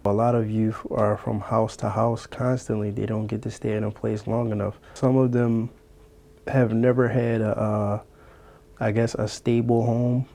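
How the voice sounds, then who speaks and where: A man, speaking in a documentary interview about foster care in a measured, plain way. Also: on the low side, level tone, gravelly, warm tone. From Philadelphia, Pennsylvania. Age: early 20s. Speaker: former foster youth interviewee.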